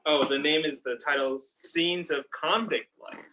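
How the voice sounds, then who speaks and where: Guy talking slow, highish voice, short casual reactions, noisy clicky audio. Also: steady tone. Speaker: guest opening a package. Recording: noisy room with clicking and rustling.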